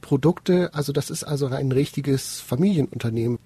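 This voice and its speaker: Male host, studio interview, dark mellow tone, husky, dry sound. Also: medium pitch, brisk, no room sound. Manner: friendly, asks questions directly.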